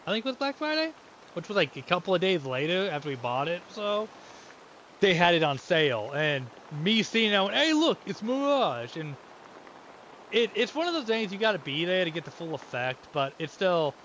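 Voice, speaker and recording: A man's voice: sits high, moderate pace. A man in his thirties from the United States, rambling through casual gameplay commentary with many restarts. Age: 30s. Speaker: gamer. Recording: steady background noise.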